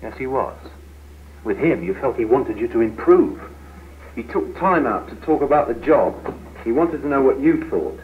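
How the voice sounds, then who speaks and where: A tinny old film soundtrack with faint noise, and on it an actor as a young British office worker. He sounds earnest, argumentative and frustrated, and his pitch moves around a lot. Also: animated, moderate pace, lightly acted. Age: young adult. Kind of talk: 1970s training film acting.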